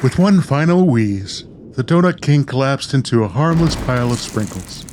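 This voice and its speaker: A man's voice: mid-range voice, expressive, normal speed, a little mellow, some chatter behind, slight background noise. A man narrating a scripted comedy radio play, reading scene headings and story as narrator.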